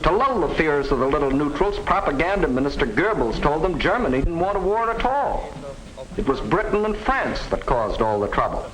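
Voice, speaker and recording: A man narrating a 1940s propaganda film with emphatic, deliberate phrasing, on a vintage film soundtrack. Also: fairly high pitch, big pitch swings, fairly bright tone, rough recording. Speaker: documentary narrator.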